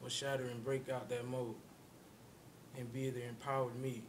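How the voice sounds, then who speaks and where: A man reciting his own spoken-word poem in a measured, solemn, deliberate way. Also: level tone. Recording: rumbling background noise.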